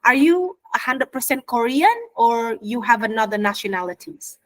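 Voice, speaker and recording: A lively woman with an Indonesian accent runs an online English lesson over a video call. Her voice sits a bit high, and she coaches her students through role-play, switching freely between Indonesian and English. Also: moderate pace. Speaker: English teacher.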